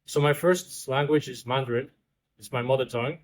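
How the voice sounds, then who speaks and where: A man's voice: medium pitch, brisk, slight background noise. A man in his twenties, raised in the Netherlands, chats as a podcast guest. He is easygoing and joking and restarts his phrases now and then. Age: 20s. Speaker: guest, researcher. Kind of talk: casual chat. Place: outdoors in Bali.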